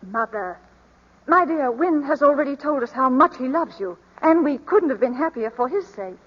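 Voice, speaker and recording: An actress playing a middle-aged upper-class mother, gracious but condescending, with polite measured speech in a 1940s radio drama. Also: sits high, lively intonation, husky. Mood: placating. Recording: muffled 1940s radio broadcast, reverberant hall.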